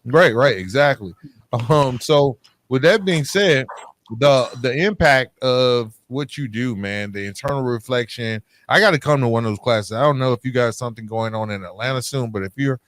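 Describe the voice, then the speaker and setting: A man's voice: mid-range voice, normal speed, a bit bright, no echo. Male podcast host, informal and chummy, mid-range voice, close dry recording. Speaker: podcast host interviewing a guest. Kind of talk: casual conversational interview. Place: living room at home.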